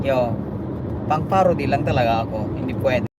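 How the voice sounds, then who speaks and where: Filipino man livestreaming over loud road noise. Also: mid-range voice. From Philippines. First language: Ilocano. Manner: halting, repeats words and restarts, switches between Tagalog and English. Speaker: livestreaming music vlogger. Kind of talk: casual livestream chat to viewers. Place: inside a moving vehicle on the road. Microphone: phone. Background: loud road and engine noise.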